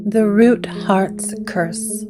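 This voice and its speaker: Slow hushed woman narrator. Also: medium pitch, steady tone. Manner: slow, hushed, dramatic storytelling. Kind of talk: scripted gothic narration. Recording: guitar music under the voice.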